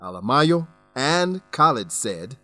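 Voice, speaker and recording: A man reads scripted educational narration at a very measured, slow pace. His pitch moves expressively as he explains things in a didactic, deliberate way. The sound is close and dry. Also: sing-song, pushed and bright, no echo, soft background music. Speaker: narrator and English teacher.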